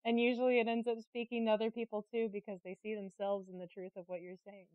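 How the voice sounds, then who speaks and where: A woman speaks in a chatty, candid interview style at a medium pitch. Her delivery is expressive and self-deprecating. The recording is close and dry, with a slightly dull, lo-fi sound. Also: fast, no echo. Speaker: interviewed artist and creative director. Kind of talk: casual, candid podcast conversation.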